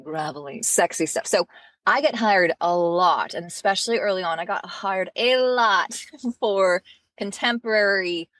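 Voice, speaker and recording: A woman who works as an audiobook narrator, chatting in an interview with a low, smoky voice and lively, quick asides. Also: dry recording. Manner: animated, laughs often, fast asides. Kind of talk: casual interview chat.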